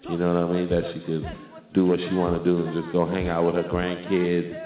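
A man's voice: low voice, completely flat delivery. A man hosting a radio show with a Trinidadian accent, signing off in laid-back slang. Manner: laid-back slang, drawn-out sign-offs. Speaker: show host. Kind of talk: casual radio sign-off chat. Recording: tinny phone-line audio, echoey, music behind.

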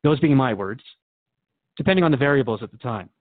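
A man's voice: mid-range voice, expressive, a little fast, no room sound, phone-quality sound, lightly acted. A man playing an earnest, puzzled radio host who asks reflective questions in a scripted drama interview. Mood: earnest, unsettled.

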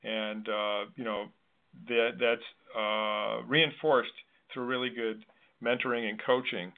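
A man hosting a conversational interview, speaking in a measured, agreeable way. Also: medium pitch, little pitch movement. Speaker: discussion host. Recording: narrow tinny phone-like line.

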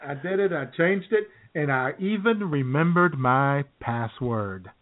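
A man co-hosting a casual comedy podcast, wry and self-described cynical, often clearing his throat. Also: on the high side, lively intonation, measured pace. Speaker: podcast co-host. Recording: tinny phone-like audio.

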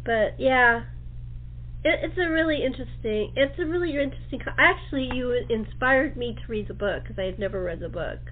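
A woman's voice: fairly high pitch, expressive, a little fast. A woman hosting a casual interview chat in a chatty, rambling, apologetic way, her voice thin and phone-like. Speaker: talk show host. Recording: thin, band-limited phone-like sound.

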